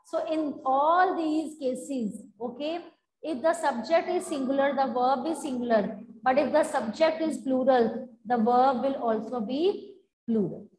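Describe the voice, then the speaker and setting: High-voiced Indian English teacher, roomy classroom. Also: female voice, unhurried, darkish tone. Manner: repetitive, checks in with students often. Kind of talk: online grammar lesson at a chalkboard. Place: classroom in front of a chalkboard. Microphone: lapel mic.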